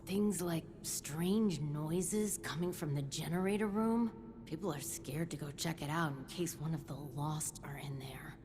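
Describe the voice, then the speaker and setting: A woman's voice: low voice, a little slow, noisy background. An actress playing a tired woman vault resident who chats a bit sardonically about the vault's problems. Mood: weary.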